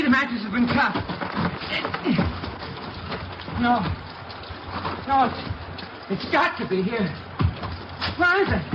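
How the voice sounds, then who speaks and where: Nervous stammering husband, old noisy radio. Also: male voice, extremely high pitch, full character voice. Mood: frantic, desperate. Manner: hesitant, stammering, repeats himself. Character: nervous husband, murder suspect, evasive and increasingly frantic. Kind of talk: 1950s radio drama acting. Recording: dull noisy vintage radio recording.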